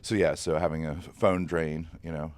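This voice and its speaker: Very deep-voiced man, easygoing guest. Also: steady tone, moderate pace, darkish tone. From Columbus, Ohio, US. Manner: easygoing, many fillers and agreeing murmurs. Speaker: ghost tour guide and ghost hunter. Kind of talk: casual interview answers.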